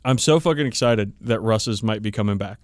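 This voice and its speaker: A man's voice: fairly low pitch, fairly quick, no room sound, clean studio sound. A man co-hosting a podcast, chatting casually about his hobby in an opinionated, emphatic way with plenty of swearing. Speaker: podcast co-host. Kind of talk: casual chat about tabletop wargaming.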